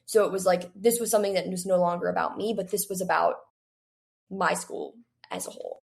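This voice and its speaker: A woman's voice: on the low side, steady tone, a little fast, creaky. A woman in her late teens, a guest talking conversationally and earnestly as she explains things in an interview. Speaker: guest, young adult author.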